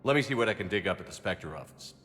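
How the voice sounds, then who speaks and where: A man playing a steady, reassuring military commander in a video game, calm and measured. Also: lowish voice. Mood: businesslike.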